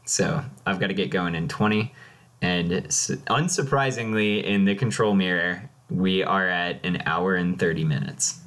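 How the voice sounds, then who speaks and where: A man's voice: low-pitched, measured pace, fairly bright tone. A man doing casual live play-by-play commentary on a card game match.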